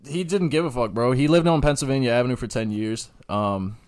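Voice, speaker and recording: A man in his twenties talks as a podcast guest in a mid-range voice with very little room sound. He is casual and halting, often restarting a thought to reword it. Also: mid-range voice, darkish tone, no echo, studio quality. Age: 20s. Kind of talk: casual chat and anecdotes.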